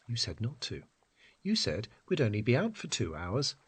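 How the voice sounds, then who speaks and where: A man's voice: mid-range voice, wildly swooping pitch, moderate pace, breathy edge, exaggerated voice. A man voicing a fed-up, complaining woman in a story, accusing and exasperated. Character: fed-up, complaining woman on a failed sailing trip. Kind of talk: acted dialogue in story narration.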